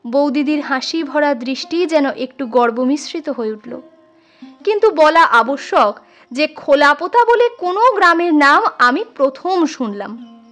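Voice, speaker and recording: A woman reads literary fiction as an expressive audiobook storyteller. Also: high-pitched. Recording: music under the voice. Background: instrumental music.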